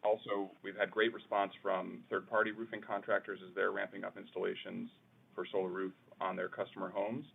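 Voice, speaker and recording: A man's voice: low-pitched, level tone, a little fast, gravelly, breathy edge. A man giving an explanatory answer as an engineering manager on a business call. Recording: muffled narrow phone-line audio.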